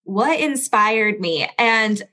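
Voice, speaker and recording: Bright, chatty young American woman. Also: mid-range voice, expressive, takes it slowly. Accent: standard American. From born in Belgium, raised overseas. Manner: chatty, lots of "like", laughs easily. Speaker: guest. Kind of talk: casual interview chat. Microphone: studio podcast mic.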